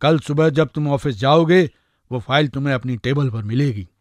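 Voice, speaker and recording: A man's voice: medium pitch, normal speed, darkish tone, no echo, lightly acted. Man voicing a confident magic talking eggplant, dry sound. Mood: chummy, confident. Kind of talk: animated moral story voice acting.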